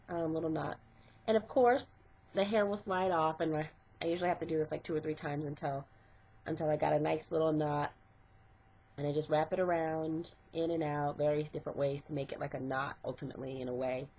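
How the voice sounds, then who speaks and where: A woman's voice: on the low side, darkish tone, breathy edge. A middle-aged woman and hairstylist, congested from a cold, talking step by step through a casual hair tutorial. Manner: step-by-step, conversational, with fillers. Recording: dull lo-fi home recording.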